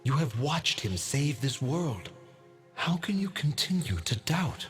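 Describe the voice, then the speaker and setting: An actor voicing the wise spirit of an ancient sword in a video game, stately and formal. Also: male voice, on the high side, big pitch swings, a little slow, faint music behind. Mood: grave.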